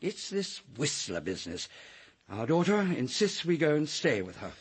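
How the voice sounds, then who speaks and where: A man's voice: a little high, big pitch swings, moderate pace, slightly rough. An actor playing a worried, apologetic older English village clergyman in a radio drama.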